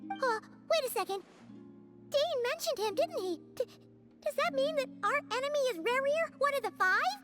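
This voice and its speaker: A woman voicing a tiny, excitable, childlike fairy companion in a video game, chatty and blunt with a squeaky voice. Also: moderate pace. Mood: flustered, curious. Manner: chatty, exclamatory, questioning. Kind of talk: English video game dialogue acting. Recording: music playing behind the voice. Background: background music.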